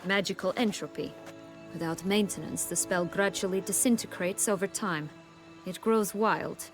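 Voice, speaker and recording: An actress playing a proud, bitter exiled noblewoman in a video game, with haughty, formal, measured speech. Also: medium pitch, music in the background, close to an everyday voice. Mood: cool, guarded.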